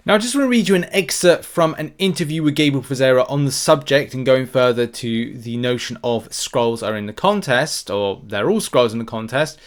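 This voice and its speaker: A man's voice: mid-range voice, expressive, moderate pace, hardly any pauses. A man with a mid-range voice presents as the host of a gaming video. He talks to viewers in a casual, conversational commentary style. He tends to open his phrases with emphasis.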